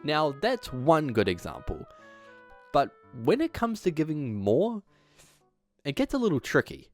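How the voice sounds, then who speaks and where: A man's voice: a little high, huge pitch swings, a little slow, no room sound, soft background music. A man hosting a video essay, reading a script with emphatic delivery and dropping in casual asides.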